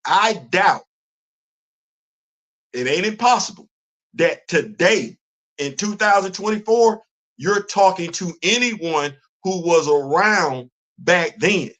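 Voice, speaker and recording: A man in his fifties hosting a casual livestream, talking in an animated, preachy way, often repeating words and restarting his sentences. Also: high voice, takes it slowly. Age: 50s. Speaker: host of a commentary livestream. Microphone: phone.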